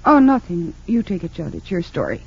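Wry, lively lady lawyer, muffled. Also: lowish voice, a little fast, slightly rough, full character voice. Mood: composed. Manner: wry, familiar. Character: sharp, teasing professional woman lawyer. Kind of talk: 1940s-50s radio drama acting. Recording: dull lo-fi old radio recording.

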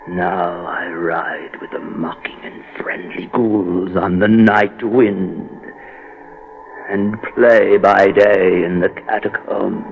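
An actor playing a tormented, horrified outcast in a Gothic radio monologue, halting and theatrical, repeating himself in anguish. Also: male voice, low-pitched, vocal fry, phone-quality sound, exaggerated voice. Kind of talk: dramatic radio horror monologue. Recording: radio recording with long hall reverb.

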